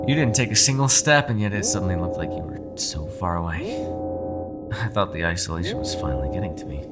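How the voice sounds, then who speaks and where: A man voicing a proud, gentlemanly captive yokai in a dramatic ASMR roleplay, articulate and courteous yet demanding, speaking directly to the listener. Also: fairly low pitch, natural voice. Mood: serious, calm. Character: captive male yokai, gentlemanly, proud, protective telepathic prisoner. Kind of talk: ASMR audio roleplay, dramatic character acting.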